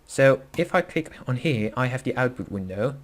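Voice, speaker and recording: A man's voice: mid-range voice, expressive, normal speed. Dutch-accented man explaining casually. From Netherlands. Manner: casual, hesitant, many fillers and self-corrections. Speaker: tutorial host. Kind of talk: screen-recorded coding tutorial.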